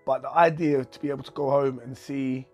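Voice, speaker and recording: A man's voice: mid-range voice, steady tone, brisk, a little mellow, soft background music. A man hosting a casual chat, asking curious questions and stumbling over his words. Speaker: host.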